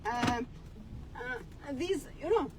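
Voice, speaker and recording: A Kenyan woman chats in a high voice at an unhurried pace, pausing often as she gropes for the right words. She is talking from behind the wheel, with the steady hum of the car and road clearly under her voice. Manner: hesitant, often searching for words. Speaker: vlogger. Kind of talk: casual chat while driving. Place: inside a car on country roads.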